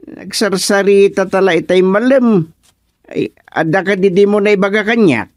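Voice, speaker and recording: A man's voice: sits high, normal speed, no room sound, lightly acted. A man playing a grumbling village chairman in an Ilocano radio comedy, blunt and questioning, with an Ilocano accent. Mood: irritated. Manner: blunt, questioning, muttering interjections. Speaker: actor in radio comedy drama. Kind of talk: Filipino radio drama comedy acting.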